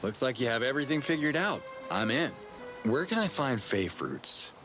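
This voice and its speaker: A man's voice: medium pitch, very animated, normal speed. A man playing a dry, sardonic, laid-back hero in a video game cutscene. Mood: easygoing. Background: background music.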